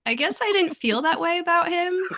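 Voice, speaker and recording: A woman's voice: extremely high pitch, lively intonation, normal speed, bright, forceful tone. A woman guest on a podcast, giving candid opinions on television in a conversational style. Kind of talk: casual TV discussion.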